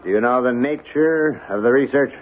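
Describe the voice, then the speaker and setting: An actor as an earnest colonel on tinny radio. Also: male voice, medium pitch, a little hiss or hum, natural voice. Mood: firm, challenging. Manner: measured, emphatic, rhetorical questioning. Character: earnest, protective male military colonel, middle-aged. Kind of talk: 1970s radio drama acting. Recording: tinny, band-limited 1970s radio recording.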